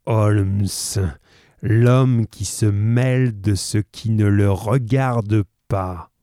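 A man voicing a furious, menacing old man in a read-aloud story, loud and threatening. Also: fairly low pitch, fairly even intonation, extremely slow, no room sound, studio quality.

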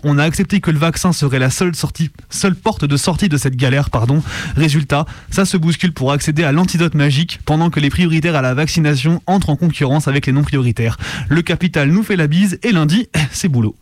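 A slightly high male voice, recorded close and dry. He co-hosts radio with slangy, outspoken commentary and casual chat. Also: fast, no room sound. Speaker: radio show co-host.

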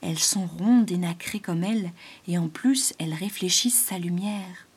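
A sad, exiled python, male and soft-spoken, speaks in a slow, hushed, breathy whisper, as a woman reads him aloud. His voice sits low and mellow, with a husky edge. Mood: gentle.

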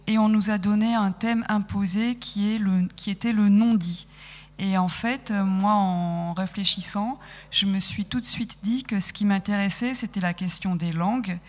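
Woman in her 30s on the radio, thinks out loud, halting, echoey room. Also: medium pitch, fairly even intonation. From France. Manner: thoughtful, halting, self-correcting. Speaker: guest, sound podcast maker. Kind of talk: radio interview conversation.

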